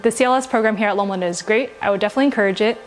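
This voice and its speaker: A woman's voice: medium pitch, rapid-fire, soft background music. A young woman answering interview questions as a student in a university lab, upbeat and assured.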